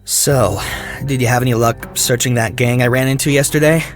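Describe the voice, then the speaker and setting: A man's voice: medium pitch, steady tone, normal speed, faint music behind, natural voice. A man playing a sarcastic, casual teenage boy hero in a fan audio drama. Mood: curious, sceptical. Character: sarcastic teenage boy turned giant blue hedgehog hero.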